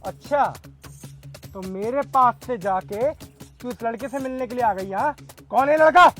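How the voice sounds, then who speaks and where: A man's voice: very high voice, a little slow, fairly bright tone. A man acts out a stern policeman and protective older brother in a melodramatic moral-story skit. He sounds suspicious and commanding, with faint music behind his voice. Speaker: actor in a moral-story video. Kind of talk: amateur melodramatic skit acting.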